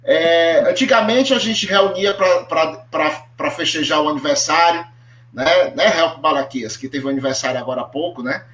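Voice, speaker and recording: A man's voice: a little high, moderate pace, fairly bright tone. A man with a Brazilian accent moderating an online panel, framing questions in a hesitant way with repeated words. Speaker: host. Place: echoey room, on a video call. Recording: echoey room over online call.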